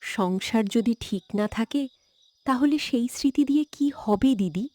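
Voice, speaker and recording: A woman's voice: a little high, moderate pace, a little mellow, dry recording, natural voice. A woman voicing a selfless younger village wife in a cartoon drama, earnest and emotional. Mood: pleading.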